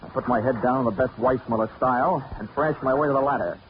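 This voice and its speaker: A man's voice: mid-range voice, quick pace, background noise, close to an everyday voice. An actor playing a wry, hard-boiled insurance investigator, narrating his case with dry wisecracks in 1940s radio style. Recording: dull 1940s radio recording, loud music.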